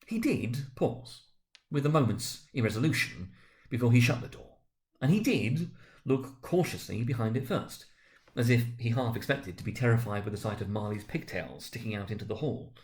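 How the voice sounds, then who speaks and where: Expressive man giving a dramatic reading. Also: moderate pace. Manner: expressive, swinging between loud emphasis and hushed low passages. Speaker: school director reading aloud. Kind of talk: dramatic reading of a classic novel.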